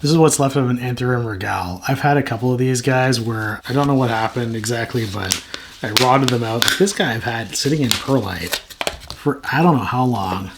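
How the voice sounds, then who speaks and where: A man's voice: mid-range voice, a little fast, background noise. A man with a sore throat, chatting casually and frankly to camera as the host of a plant channel. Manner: rambling, frank, self-mocking.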